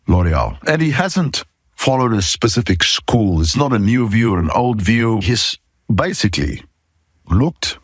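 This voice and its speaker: Husky South African man, low and expressive. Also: dry recording, clean studio sound. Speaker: podcast host, safety consultant. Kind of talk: informal talk to camera introducing a podcast.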